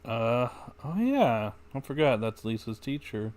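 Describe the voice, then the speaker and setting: A man's voice: mid-range voice, sing-song, a little slow, background noise. A man giving casual livestream commentary on a game, musing aloud in an offhand way.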